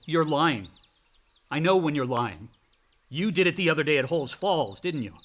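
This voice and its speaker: A man's voice: fairly high pitch, animated, normal speed. An actor playing a brooding, angry grown older son in a naturalistic radio drama, blunt and informal in his talk. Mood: angry, accusing.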